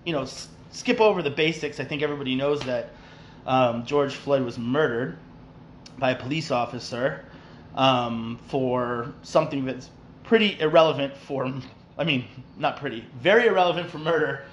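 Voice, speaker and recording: A man's voice: mid-range voice, normal speed, lo-fi sound, slight background noise. A man from New York hosting a casual podcast, rambling with many fillers and restarts. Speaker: podcast host.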